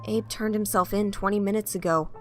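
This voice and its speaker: A kind, grieving man who speaks softly and pleads with the people around him, read by a woman narrator. His voice sits at a mid pitch and stays tender. Soft music runs faintly behind him. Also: steady tone, brisk, lightly acted. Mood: gentle. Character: gentle man, grieving family friend.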